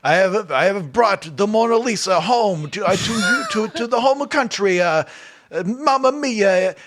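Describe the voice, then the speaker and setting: A man playing a boastful Italian art thief in a mock Italian accent, loud and halting. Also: high-pitched, lively intonation, background noise, voice pushed up. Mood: proudly pompous.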